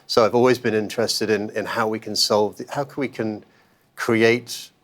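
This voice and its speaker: A man's voice: on the low side, fairly even intonation, normal speed. A man speaking as a guest on a podcast interview, talking conversationally with many fillers and self-corrections. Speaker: interview guest, investment manager.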